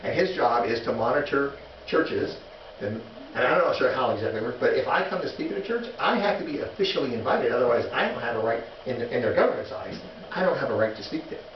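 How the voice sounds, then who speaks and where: A man teaching a missions class in a relaxed, halting way, with many restarts and fillers while telling stories. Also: medium pitch. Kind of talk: informal classroom lecture. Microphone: distant room mic. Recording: echoey, distant, muffled classroom recording with noise.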